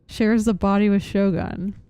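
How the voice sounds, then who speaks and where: A woman's voice: medium pitch, fairly even intonation, measured pace, mellow, clear, resonant tone, no room sound. A young woman giving casual live gameplay commentary as a streamer, rambling and thinking aloud. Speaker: game streamer. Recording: faint game music behind voice. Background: game music.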